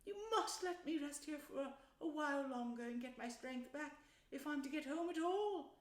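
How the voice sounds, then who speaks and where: A woman's voice: high-pitched, moderate pace, echoey room, pitched above the performer's own voice, lightly acted. A woman voicing a small, frightened, exhausted male mole in a storybook, with a feeble, plaintive, polite manner. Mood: weary, apologetic. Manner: plaintive, apologetic.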